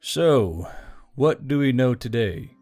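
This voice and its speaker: A man's voice: medium pitch, lively intonation, slow, a little mellow. A man playing a wry male military commander who questions his staff dryly in an audio story. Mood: questioning.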